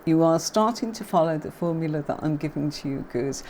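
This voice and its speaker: Deep-pitched British woman, neutral accent, chatty livestream tarot teacher, faint noise in background. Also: fairly quick. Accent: neutral southern English, faint Yorkshire. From Yorkshire, England. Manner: chatty, warm greetings to viewers, many fillers. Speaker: tarot reader hosting a livestream.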